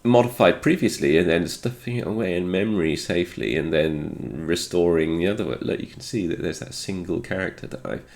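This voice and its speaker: A man's voice: low-pitched, a little fast, gravelly, hardly any pauses, darkish tone. A man with a slightly congested voice, recovering from a cold, thinking aloud through an unscripted technical walkthrough. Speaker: host of a retro game programming video.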